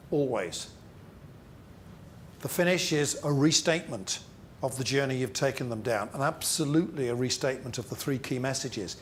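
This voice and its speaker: Emphatic male presentation trainer, slightly noisy room. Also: mid-range voice. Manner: emphatic, rhetorical questions and deliberate repetition. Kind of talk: interactive training seminar talk to a group.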